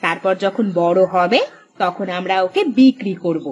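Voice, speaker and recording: A woman voicing a sharp-tongued, practical fisherman's wife in a cartoon, bossy and scolding. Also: fairly low pitch, normal speed, breathy edge, dull audio. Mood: practical, coaxing. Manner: nagging, scolding. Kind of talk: Bengali cartoon story voice acting.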